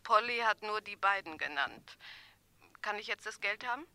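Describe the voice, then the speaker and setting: Cool informant lady, very bright sharp voice, all business, radio drama. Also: medium pitch, lively intonation, normal speed, clear, resonant tone. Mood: businesslike. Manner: matter-of-fact, businesslike. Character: cool, calculating female informant.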